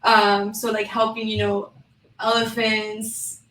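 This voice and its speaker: Chatty higher-voiced woman with a French accent. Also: completely flat delivery, takes it slowly, bright, forceful tone, roomy sound, far from the mic, a little hiss or hum, rough recording. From New York City, United States. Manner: chatty, with frequent "um" and "like" fillers. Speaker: guest. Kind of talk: casual interview.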